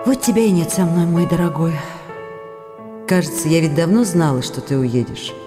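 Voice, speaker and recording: A woman's voice: on the low side, normal speed, close to an everyday voice. An actress playing a devoted, wistful wife, reading her telegrams and letters expressively in short phrases for a radio drama. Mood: sad, wistful. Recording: very loud music behind the voice.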